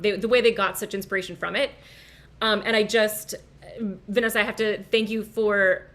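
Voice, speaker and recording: A woman speaking casually at an online event as a guest educator, earnest, emphatic and gushing. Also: a little high.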